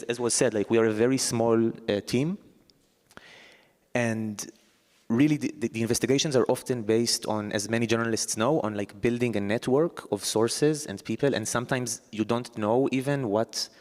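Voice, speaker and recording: A man's voice: mid-range voice, a little mellow. A man with an Israeli accent, an investigative journalist speaking earnestly on a festival panel, often repeating words as he searches. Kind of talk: panel discussion talk. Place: festival conference hall. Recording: long reverb of a large hall.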